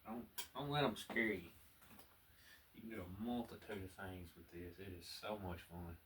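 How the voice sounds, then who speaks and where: A man with a strong Southern US accent, chatting in a folksy, unhurried way as he teaches painting, murmuring asides to himself. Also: deep voice, fairly bright tone. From American South. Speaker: painting instructor. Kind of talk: relaxed painting tutorial with casual chat. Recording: distant mic with clear background noise.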